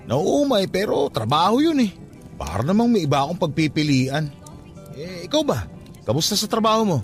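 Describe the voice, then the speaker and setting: A man's voice: high voice, very animated, moderate pace, faint music behind. An actor playing a brash young man who teases and mocks his cousin in a radio drama. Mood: teasing. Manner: teasing, brash, mocking. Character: cocky, skeptical young funeral-parlor worker teasing his cousin. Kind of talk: radio drama dialogue, casual banter.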